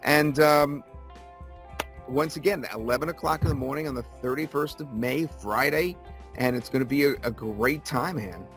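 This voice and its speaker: A man of ordinary pitch talks as a guest in an interview, selling his product with steady persuasion. He circles back to the key details to drive them home. Faint music plays behind him. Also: moderate pace.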